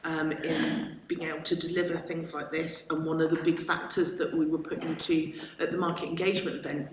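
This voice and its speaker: A councillor answers a question at a council meeting in a flat, mid-pitched voice. The delivery is hesitant and breaks off to restart phrases. The voice is set back from the mic in an echoey room. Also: far from the mic, a little hiss or hum. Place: council chamber.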